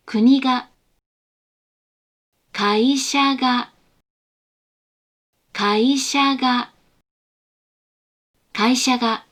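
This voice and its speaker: High-voiced woman reading slow pronunciation examples. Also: fairly bright tone. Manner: deliberate, careful enunciation. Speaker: native-speaker example reader in a lesson. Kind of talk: isolated pronunciation examples, repeated.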